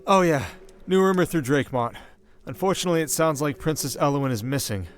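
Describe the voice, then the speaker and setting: A man's voice: on the high side, expressive, quick pace, natural voice. A man voicing a loyal, tireless scout with an informal, breezy manner in a fantasy audio roleplay. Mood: breezy, then concerned. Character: loyal, casual, tireless male scout reporting to his king.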